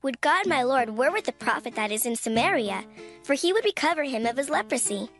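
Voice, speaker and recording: A woman's voice: sits high, animated, a little fast, a bit bright. A woman playing an earnest young servant maid in a dramatized scripture reading, with a wishful manner. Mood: earnest, hopeful. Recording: faint music behind the voice.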